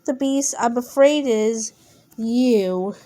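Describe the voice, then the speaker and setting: An ancient, solemn storybook creature read by a woman. It speaks slowly in a high voice, making grave, measured pronouncements with halting breaks. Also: warm tone. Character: ancient, solemn watcher disguised as a Tasmanian devil.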